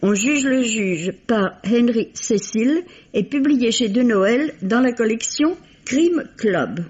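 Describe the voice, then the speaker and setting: A woman's voice: fairly high pitch, expressive, slow, darkish tone, breathy edge. A woman delivers a literary radio book review. She phrases things articulately from a script. The recording is old and muffled.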